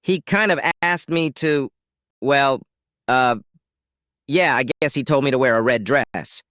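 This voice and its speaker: A man's voice: mid-range voice, unhurried, a bit bright, no room sound, clean studio sound, pitched above the performer's own voice, natural voice. A man voicing a distraught, pleading wife who stammers and gasps through her words. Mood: flustered, defensive.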